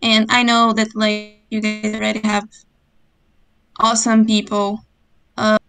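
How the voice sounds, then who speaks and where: A woman in her twenties with a Brazilian Portuguese accent, introducing herself shyly with many pauses. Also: mid-range voice, monotone, a bit bright. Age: 20s. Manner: shy, hesitant, many ums. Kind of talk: self-introduction on a call.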